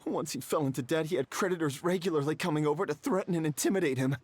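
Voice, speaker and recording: A man's voice: a little high, fast, a little mellow, lightly acted. An actor playing a desperate, pleading middle-aged con man in a game, with a whiny, stammering, theatrical delivery. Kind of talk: dramatic game voice acting. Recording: music behind the voice.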